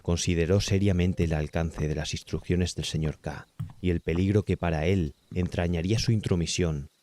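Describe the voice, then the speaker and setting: A male narrator with a Castilian Spanish accent reads a horror tale. His voice is low and mellow, recorded very dry, and his storytelling is measured and carefully articulated. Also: steady tone, dry recording. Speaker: audiobook narrator.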